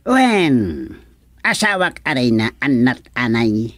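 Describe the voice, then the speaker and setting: A man playing a solemn, judging termite king in a comedic radio drama, with a measured, pronouncing delivery. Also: medium pitch, sing-song, a bit bright, dry recording, studio quality. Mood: solemn judgment.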